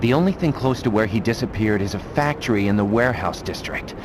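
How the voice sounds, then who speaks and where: An actor playing a matter-of-fact investigative reporter in a video game, dry in manner. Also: male voice, lowish voice, fast, noisy background, natural voice. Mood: dry, helpful. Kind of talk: video game character acting.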